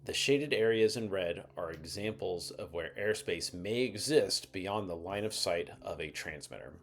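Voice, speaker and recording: Male explainer narrator, lower register, measured and methodical scripted read. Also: lively intonation, slight background noise.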